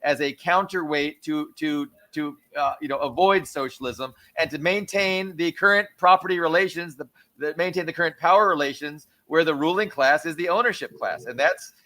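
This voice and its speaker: A man with a bright, forceful voice that sits a little high explains political theory. He lectures in long stacked clauses and repeats words as he builds his point, in the manner of a show host. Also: normal speed. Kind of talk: political discussion.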